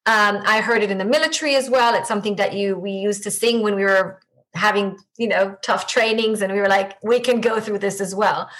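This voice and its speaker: A woman's voice: medium pitch, fairly bright tone, rough recording. A woman from Israel with an Israeli accent, Hebrew her first language, speaking as a podcast guest and coach in a reflective way with frequent restarts. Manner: reflective, halting with many restarts. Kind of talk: podcast interview conversation.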